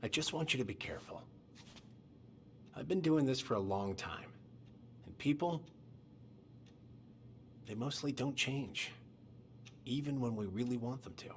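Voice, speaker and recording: A man's voice: mid-range voice, lively intonation, measured pace, long pauses, a little hiss or hum, close to an everyday voice. An actor playing a concerned, fatherly middle-aged police officer in a naturalistic video game cutscene. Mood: gentle warning.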